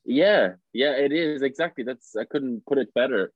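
A man's voice: mid-range voice, moderate pace, no room sound. A young Irish man, an actor, chatting in an interview over a video call, often restarting his sentences and laughing. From Ireland. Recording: dry, dull lo-fi call audio.

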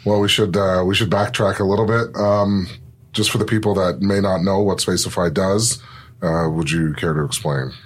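A man hosting a podcast and interviewing a guest, who asks short questions in a relaxed way. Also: sits low, moderate pace. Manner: relaxed, brief prompting questions. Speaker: podcast host. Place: podcast studio.

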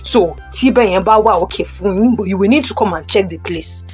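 A woman's voice: mid-range voice, brisk, faint music behind, natural voice. A woman plays a Nigerian housing agent, a businesslike man in an audio drama. He is pushy and persuasive, starting sentences with small hesitations. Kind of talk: audio drama acting, Yoruba-English phone conversation.

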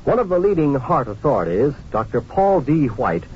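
Male vintage radio announcer, formal and emphatic, lively intonation, muffled sound. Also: mid-range voice, moderate pace. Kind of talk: scripted 1950s radio announcement. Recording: muffled old radio recording, light noise.

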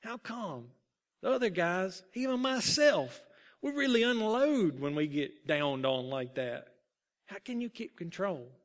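A curious, blunt boy ballplayer from a storybook, played by a man. He talks casually and questioningly in a high-pitched voice. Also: big pitch swings, unhurried. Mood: puzzled, curious. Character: blunt, curious boy shortstop, teammate and friend.

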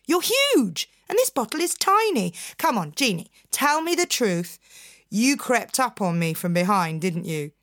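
A woman's voice: on the high side, big pitch swings, unhurried, a bit bright, no room sound, studio quality, exaggerated voice. A woman voicing a cheeky fisherman who teases and taunts in mock disbelief. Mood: teasing. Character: cheeky, scheming fisherman, a man.

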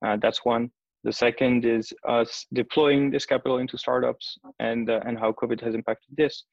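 A man from Switzerland, in his thirties, speaks with a gravelly edge and little rise or fall in his voice. He works through his answers point by point, with plenty of fillers. The sound is dull and lo-fi, and close and dry. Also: medium pitch, little pitch movement, moderate pace, darkish tone, dry recording. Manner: methodical, lists points in order, frequent fillers. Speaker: venture capital investor. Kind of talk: interview answers.

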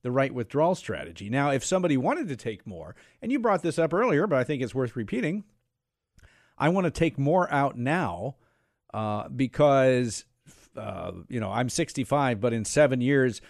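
A man's voice: medium pitch, sing-song, moderate pace, no room sound, clean studio sound. A man hosting a talk about money, setting up examples in a hesitant way with many restarts. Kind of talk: conversational interview.

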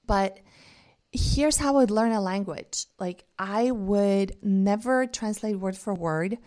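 A woman's voice: medium pitch, slow pace, a little mellow. Earnest woman. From Bulgaria. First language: Bulgarian. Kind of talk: reflective monologue.

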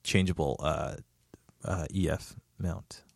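A young man with a fairly low voice talks through a casual podcast chat, rambling and restarting, circling back on himself with lots of "like". He sits close to a podcast mic, and the sound is very dry with no room echo. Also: fairly even intonation, slow, vocal fry, slightly rough. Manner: rambling, many restarts and "like" fillers. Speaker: podcast guest.

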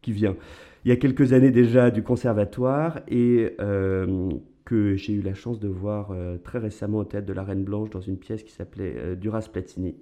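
A man's voice: lowish voice, fairly even intonation, moderate pace, mellow. A man hosting an interview podcast, talking casually with frequent hesitations. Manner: hesitant, many fillers. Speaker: podcast host. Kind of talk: conversational interview.

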